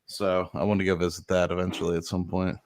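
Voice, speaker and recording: A man's voice: low voice, flat delivery, fast. A man chatting casually on a livestream about a launch, rambling with lots of fillers and correcting himself. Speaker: launch commentary streamer.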